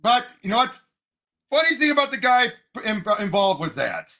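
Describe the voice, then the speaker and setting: A man co-hosting a casual pop culture talk, opinionated and animated, sometimes searching for his words. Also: extremely high pitch, normal speed. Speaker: podcast co-host.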